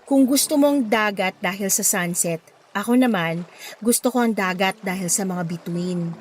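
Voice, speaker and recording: An actress with a Filipino accent playing a friendly, playful young local woman in a radio drama, lively and coaxing. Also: medium pitch, normal speed, breathy edge, close to an everyday voice. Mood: chatty, wistful. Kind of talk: Tagalog radio drama acting.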